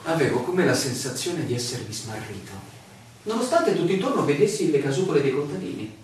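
A man's voice: a little high, expressive, a little fast, darkish tone, natural voice. Man acting a weary stranger, very echoey recording. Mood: weary, absorbed recounting. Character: weary male stranger recounting his bewildering walk. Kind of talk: theatrical radio drama monologue. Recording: very echoey, distant, noisy radio play recording.

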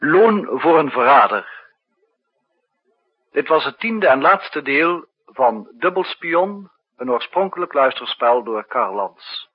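A man's voice: medium pitch, expressive, takes it slowly, breathy edge. A man reading a scripted closing announcement for a 1960s radio drama, as its announcer. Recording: dull, muffled 1960s radio recording.

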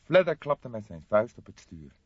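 A man's voice: medium pitch, sing-song, normal speed, breathy edge, dry recording, dull audio. A man reading scripted detective-story narration as an audiobook narrator.

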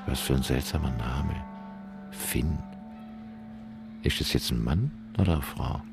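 Very deep, gravelly musing man. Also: expressive, measured pace, music in the background, full character voice. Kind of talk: radio play dialogue.

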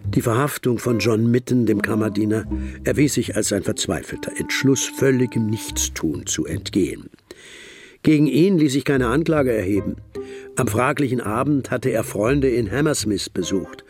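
A male actor as measured storyteller over prominent music. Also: mid-range voice, expressive, lightly acted. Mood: measured, reflective. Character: male narrator, a detective's companion recalling a case. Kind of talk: German radio drama narration.

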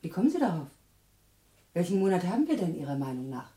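An actress in a radio drama playing a learned psychiatrist with a breezy, questioning manner. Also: female voice, fairly low pitch, very animated, fast, warm tone, roomy sound. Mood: probing. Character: learned female psychiatrist, airy and probing.

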